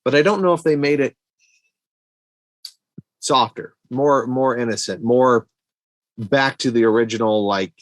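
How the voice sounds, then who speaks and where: A man's voice: medium pitch, a little slow, long pauses, no room sound. A man co-hosting a podcast, chatting casually about movies in a laid-back, slangy way. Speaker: podcast co-host.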